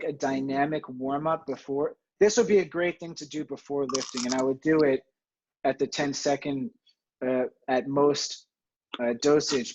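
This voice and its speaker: A man from New Jersey teaching a home exercise webinar from his home, chatty and self-deprecating, with a steady, fairly monotone delivery and filler words. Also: on the high side, dull audio. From New Jersey, USA. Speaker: physical therapy webinar presenter.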